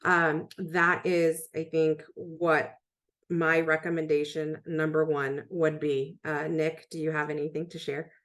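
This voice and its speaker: A woman's voice: sits low, level tone, a little slow, fairly bright tone. A woman answering questions as a panelist in a conference session, giving informal advice in a direct, emphatic way. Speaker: panelist, school district communications leader.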